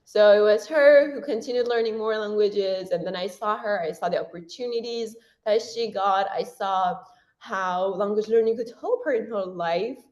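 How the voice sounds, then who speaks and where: A woman's voice: medium pitch, darkish tone. A young woman whose first language is Persian, a university student talking frankly as a guest in a casual interview. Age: young adult. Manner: chatty and frank, with frequent "like" and quick self-corrections.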